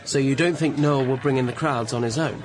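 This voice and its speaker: An actor playing a polite, loyal young secretary with a British accent in a radio drama. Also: male voice, mid-range voice, a little fast, over music, close to an everyday voice. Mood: earnest, loyal. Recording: busy crowd noise behind.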